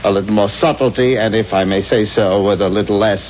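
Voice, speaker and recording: A man's voice: fairly low pitch, steady tone, a little fast, slight background noise, close to an everyday voice. Man acting a meek, flustered bank teller, muffled. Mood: prim. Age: middle-aged. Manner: hesitant, stammering, prim. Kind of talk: 1970s radio drama acting. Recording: muffled old radio broadcast with music.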